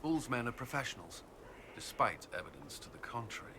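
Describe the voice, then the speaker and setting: A wry fantasy soldier companion. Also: male voice, medium pitch, sing-song, fairly quick, a crowd in the background.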